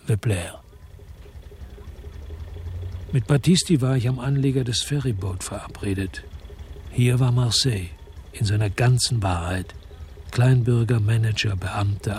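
An actor playing a weary, dogged French detective who narrates his noir radio crime story in a measured, laconic way. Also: on the low side, a little mellow, breathy edge. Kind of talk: first-person narration in a noir radio drama.

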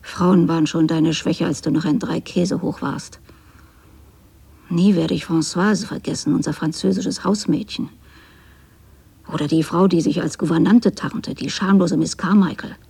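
A middle-aged woman acting a bitter, reproachful mother in a radio drama, speaking precisely and theatrically in a husky, creaky voice. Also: lowish voice, fairly even intonation, unhurried, dark tone, exaggerated voice. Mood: vindictive, accusing.

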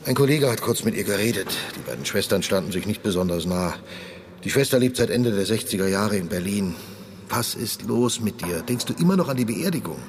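A man's voice: lowish voice, animated, a little fast. A man playing a blunt, exasperated but protective police detective in a radio drama, with emphatic, insistent delivery. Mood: matter-of-fact. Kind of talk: radio drama dialogue in a flashback. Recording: long reverb, faint ambient noise.

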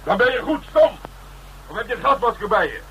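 An actor playing a tough, sardonic police detective who taunts bluntly in a radio crime drama. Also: male voice, sits high, big pitch swings, brisk, a bit bright. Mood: hard, urging. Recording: noisy old radio drama recording.

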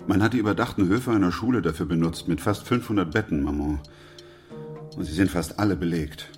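Man acting male doctor, low-pitched, grave, restrained, radio drama, music underneath. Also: a little mellow, natural voice. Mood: weary, matter-of-fact. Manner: measured, restrained. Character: sober, dutiful male doctor narrating a plague. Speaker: actor and narrator. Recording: music behind the voice.

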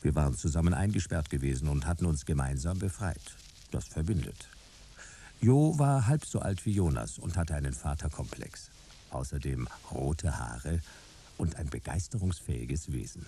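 A man's voice: extremely low pitch, lively intonation, normal speed, dark tone, slight background noise. A man playing a detective who narrates his own case in a terse, wry noir style for a radio drama.

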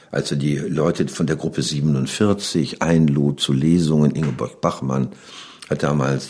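Man, very deep rough bass, explaining as an interviewee. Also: darkish tone.

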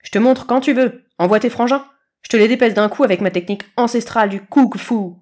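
A woman voicing a boastful, excitable young male kitten in an acted storybook. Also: fairly high pitch, a little fast, natural voice. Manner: arrogant, mangles long words.

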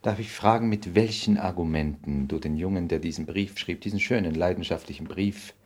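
An actor playing a cynical, polished aristocratic lord in a radio play, condescending and deliberate. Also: male voice, low voice, darkish tone. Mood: wry. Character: cynical aristocratic English lord, suave and self-regarding. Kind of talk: 1960s radio play acting.

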